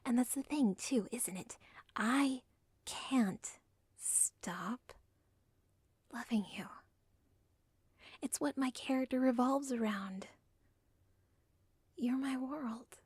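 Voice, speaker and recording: Actress for obsessive young villain's daughter; intimate whisper, slow and breathy, dead-dry mic. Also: female voice, sits high, darkish tone, no room sound, full character voice. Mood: pleading. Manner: intimate, pleading, self-aware. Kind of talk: ASMR audio role play.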